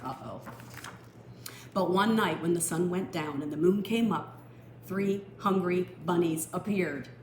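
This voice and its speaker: A woman reading a picture book aloud for children, expressive and explanatory, with teacherly asides. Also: on the low side. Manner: explanatory, expressive, pausing to define words. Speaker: storytime reader. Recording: echoey room with faint noise.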